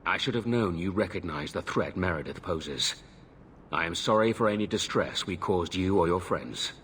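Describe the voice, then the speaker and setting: A man's voice: sits low, animated, normal speed, fairly bright tone, a little hiss or hum. A man playing a principled, conciliatory knightly rebel leader in a video game, earnest, formal and authoritative. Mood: grave earnest. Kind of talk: dramatic video game acting.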